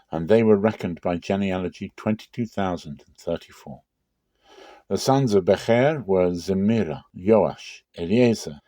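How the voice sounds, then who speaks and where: A man giving a dramatic reading of scripture in a measured, solemn, deliberate manner. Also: low voice, mellow, no echo. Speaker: Bible reader.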